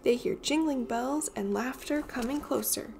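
A woman with a fairly high voice narrates a children's storybook expressively. Music plays behind her as she reads. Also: moderate pace, creaky, a little mellow. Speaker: storyteller reading a picture book aloud.

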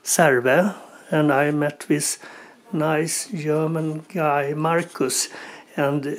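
A man in his forties chatting on camera in a small wooden mountain shelter, speaking haltingly with many pauses and restarts. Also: fairly high pitch, steady tone. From Sweden. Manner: hesitant, many uhs and restarts, non-native English. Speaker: hiking vlogger interviewing a fellow hiker. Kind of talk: casual on-camera chat.

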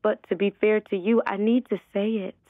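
An actress playing a kind, apologetic but gently firm young girlfriend in an audio drama. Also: medium pitch, fairly even intonation, a little fast, no room sound, close to an everyday voice. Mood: apologetic, sorrowful. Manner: gentle, careful. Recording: dry, thin phone-like sound.

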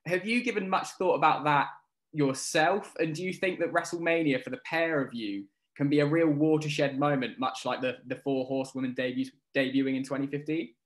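A man's voice: fairly high pitch, a little fast. A man politely and articulately putting questions as a sports journalist on a press call.

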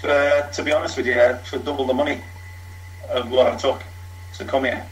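A man's voice: mid-range voice, steady tone, brisk, slight background noise, rough recording. Middle-aged man, Liverpool accent, frank storyteller, ex-footballer interviewee. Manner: frank, talks in long runs with fillers. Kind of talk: candid storytelling.

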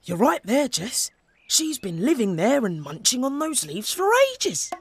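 An actor voicing a playful, easygoing pond frog in a children's cartoon, friendly and drawn-out in delivery. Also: mid-range voice, very animated, breathy edge, no room sound, heavily acted. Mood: relaxed, musing.

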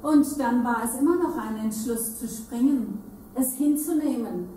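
A woman's voice: a little high, normal speed, dark tone. A woman giving a staged literary reading, recorded from afar in a very echoey, noisy room. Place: salon with seated audience. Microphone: far camera. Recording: very echoey hall, distant mic, noisy.

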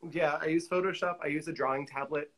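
A man's voice: on the high side, steady tone, fast, a bit bright. A man in his forties chatting casually as an interview guest, peppering his talk with "you know" asides. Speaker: interview guest, collage artist. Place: small indoor room with pinned pictures, video call.

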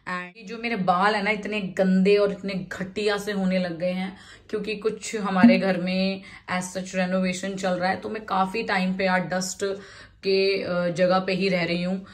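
A woman in her thirties chatting casually to her phone camera as a vlogger, first at home and then in a street market, mixing English words into her talk. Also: mid-range voice. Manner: chatty, mixes English into Hindi, says "yaar" often. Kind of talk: casual vlog chat. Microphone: phone held in hand.

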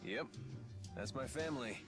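An actor playing a wry, world-weary rogue in a video game, sardonic and laid-back. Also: male voice, mid-range voice, animated, normal speed, music in the background, lightly acted. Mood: matter-of-fact.

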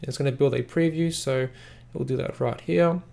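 A man hosts a casual software walkthrough. His voice has a lot of fry and gravel in it. He explains each step in a loose, repetitive, rambling way. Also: medium pitch, normal speed. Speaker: software reviewer, tutorial host. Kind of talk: casual screen-recording tutorial narration.

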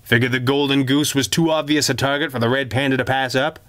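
Guy reading as a smug casual gangster type in a crime story, wry, raised pitch, smooth clear voice. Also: steady tone, quick pace, fairly bright tone, no echo, close to an everyday voice. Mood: teasing, smug. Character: smug, seasoned gangland undercover man, wry and casual.

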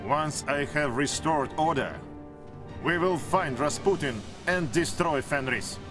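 A man's voice: on the high side, measured pace, music in the background, close to an everyday voice. A man playing a proud, imperious monarch in a video game, with a stern, haughty and formal delivery. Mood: resolute. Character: proud, imperious male monarch, the Tsar of Russia. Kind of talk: video game cutscene acting.

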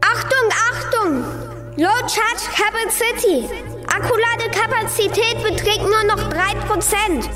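Woman as alarm system for children's audio play: extremely high, slow, urgent, repetitive announcer. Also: over loud music. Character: shrill, urgent warning-system machine announcing battery alarms.

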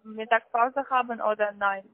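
A woman making an insistent call centre call, pushy and repetitive, with halting non-native phrasing. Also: on the high side, fairly even intonation, dry recording. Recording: tinny narrowband phone line.

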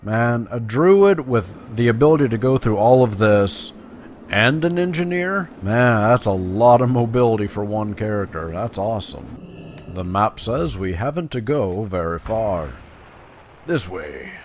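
A man's voice: on the low side, expressive, a little slow, slight background noise. A man giving casual, playful gameplay commentary in a chatty, slangy way, sometimes reading quest text aloud. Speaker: video game player and commentator.

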